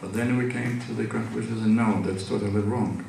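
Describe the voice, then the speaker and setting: A man with a Georgian accent delivers an academic talk, his speech halting and full of fillers and restarts. His voice sits on the low side. He is heard from a distance in a large hall with heavy echo and a faint hum of ambience. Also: fairly even intonation, vocal fry, a little mellow. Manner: hesitant, many fillers and restarts. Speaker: conference speaker. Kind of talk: academic lecture. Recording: echoey hall from far off.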